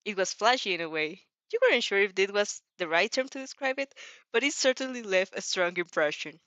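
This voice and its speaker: A woman narrating fan fiction in an excitable amateur style, often stumbling over her words. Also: medium pitch, brisk, no echo, dull audio. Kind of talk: animated amateur fan fiction reading with reactions.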